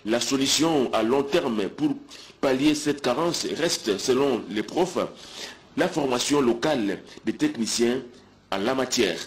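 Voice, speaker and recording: A man's voice: mid-range voice, a little slow. Man narrating news report.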